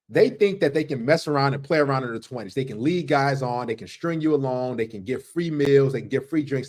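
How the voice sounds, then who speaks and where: High-voiced man ranting in commentary. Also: a little mellow. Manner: emphatic, repetitive ranting. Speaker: commentary channel host. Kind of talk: reaction commentary.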